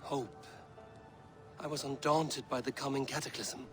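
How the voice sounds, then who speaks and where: An actor playing a solemn, intense Norse warrior in a cinematic video game, speaking in grave, deliberate, formal phrases. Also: male voice, medium pitch, fairly even intonation, natural voice. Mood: grave, resolute. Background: music.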